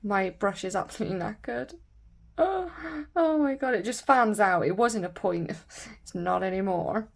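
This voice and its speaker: A woman with a croaky voice from a sore throat, chatting casually and rambling with lots of fillers while doing her nails. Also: medium pitch, animated, moderate pace. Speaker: nail vlogger.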